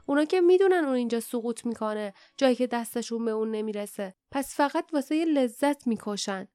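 A woman's voice: sits high, steady tone, darkish tone, smooth, no room sound, clean studio sound, soft background music, voice pushed up, lightly acted. Woman voicing a fierce, terse young girl adventurer. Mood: furious. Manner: terse, indignant.

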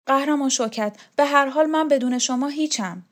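A woman voicing an earnest, anxious young male student who pleads quickly. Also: high-pitched, voice pushed up, natural voice. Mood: devoted.

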